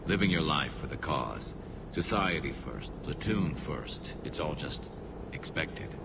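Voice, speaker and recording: Very deep, world-weary alien soldier. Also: male voice, lively intonation, vocal fry, exaggerated voice. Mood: sombre, reflective. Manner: measured, reflective. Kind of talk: video game cutscene acting. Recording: loud vehicle hum behind the voice.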